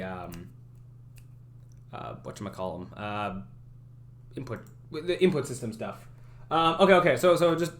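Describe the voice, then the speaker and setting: A young man streaming his game development, chatting casually and thinking out loud as he works, often correcting himself. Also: a little high. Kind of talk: casual live-stream chat while working.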